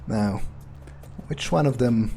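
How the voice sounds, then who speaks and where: A man playing a slow, dark-voiced sneering vampire. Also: medium pitch, creaky, faint music behind. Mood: scheming, amused. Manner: sneering, foul-mouthed, musing. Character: contemptuous, scheming male vampire musing to himself. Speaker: game player staying in character. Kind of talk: in-character roleplay playthrough.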